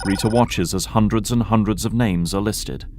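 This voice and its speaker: A man's voice: on the low side, normal speed. A man reading scripted narration for an audio drama. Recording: faint background noise.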